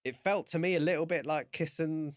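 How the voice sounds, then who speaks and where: A man co-hosting a podcast, talking through a book casually in thoughtful, halting phrases. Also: fairly high pitch, dry recording, studio quality. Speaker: podcast co-host.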